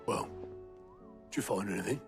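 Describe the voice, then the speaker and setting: A British actor playing a gruff, wry middle-aged man in a fantasy video game, blunt and colloquial. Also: on the low side, animated, normal speed. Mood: fondly exasperated. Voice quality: gravelly, creaky. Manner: blunt, colloquial, dryly teasing. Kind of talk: cinematic video game acting. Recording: music behind the voice.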